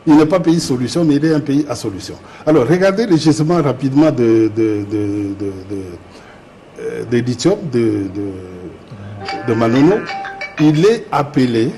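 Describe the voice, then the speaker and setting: A man's voice: medium pitch, mellow, a little hiss or hum. A man, a metallurgist guest explaining emphatically in an interview, often repeating words as he searches. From Democratic Republic of the Congo. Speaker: guest, metallurgist and industrial chemist. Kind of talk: interview discussion.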